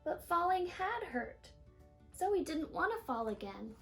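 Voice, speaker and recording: High-pitched woman reading a children's story. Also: unhurried, faint music behind. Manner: animated storytelling, stressing and stretching key words. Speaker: storybook reader. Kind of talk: expressive read-aloud of a children's picture book.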